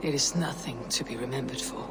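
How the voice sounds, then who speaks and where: A battle-hardened Viking woman with an extremely low voice for a female. She is stoic and grimly modest, speaking in terse, sombre game dialogue. Clear ambient noise sits behind the words. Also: a little fast. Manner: terse, sombre, plain-spoken. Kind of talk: dramatic historical video game dialogue.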